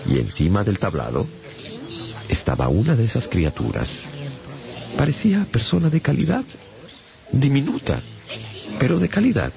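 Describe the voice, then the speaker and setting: A man narrating a radio drama as a traveller recounting his adventure, in a theatrical, deliberate storytelling manner. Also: medium pitch, sing-song. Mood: measured suspense. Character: adult male traveller recounting his strange captivity. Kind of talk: dramatised first-person audiobook narration. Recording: dull radio audio over clear music.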